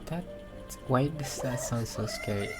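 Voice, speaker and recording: Female game streamer: extremely low pitch, casual, hesitant running commentary over game music. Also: a little slow. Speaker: gaming streamer playing through a story quest.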